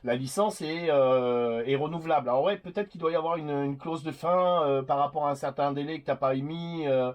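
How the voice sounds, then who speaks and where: Higher-voiced man in casual radio chat. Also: steady tone, a little fast, hardly any pauses. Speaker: CB radio operator making videos.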